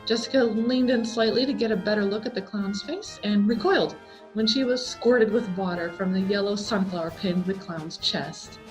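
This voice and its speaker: A woman reading a scary story aloud as a dramatic, expressive scripted narration full of suspense. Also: mid-range voice, moderate pace, faint music behind, low-quality recording. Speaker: story reader.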